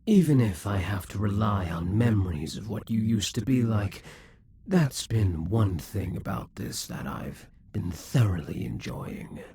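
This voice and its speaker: A possessive, scheming demon king, played by a man in an audio roleplay. His voice is husky and breathy, and he often drops to a half-whisper. He draws his words out slowly, and his pitch rises and falls freely. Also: lively intonation, warm tone, full character voice. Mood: gloating, possessive.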